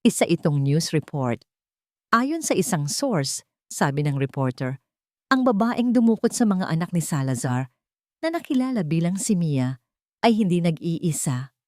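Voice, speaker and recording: A woman's voice: lowish voice, sing-song, a little slow, no room sound, clean studio sound. A woman narrator reading a scripted dramatic story.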